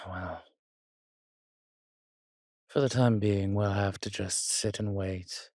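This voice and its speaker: A man's voice: lowish voice, steady tone, slow pace, darkish tone, no room sound, clean studio sound. A man playing a suave, weary vampire with courtly, fond phrasing in an intimate roleplay audio drama. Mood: weary, tender. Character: weary, suave male vampire, protective and darkly charming.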